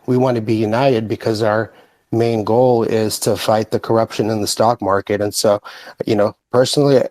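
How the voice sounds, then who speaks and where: A man with an American accent giving earnest opinions in a casual, halting way in an online group chat. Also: lowish voice, fairly even intonation, darkish tone, no echo. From born in Russia, raised in the United States from age five. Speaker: guest speaker in online group audio chat.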